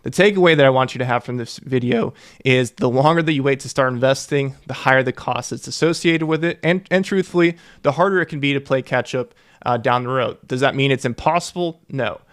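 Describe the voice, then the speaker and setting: A man's voice: mid-range voice, brisk. A young man, a financial educator, earnestly walking viewers through investing figures and repeating key numbers for emphasis. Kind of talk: explainer to camera.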